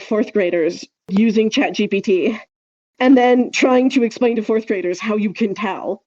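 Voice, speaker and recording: A woman's voice: fairly high pitch, warm tone, no room sound, dull audio. A woman chatting casually as a podcast co-host, wry, opinionated and emphatic in how she makes her points. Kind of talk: casual speculative podcast chat.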